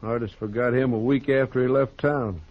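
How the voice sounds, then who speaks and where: A man plays a hot-headed young rancher in a vintage radio western. He is stubborn and defiant, with a medium-pitched voice. The sound is dull and boxy, like an old broadcast. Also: steady tone, normal speed, natural voice. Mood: scornful. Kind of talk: 1950s radio acting. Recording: muffled old radio recording.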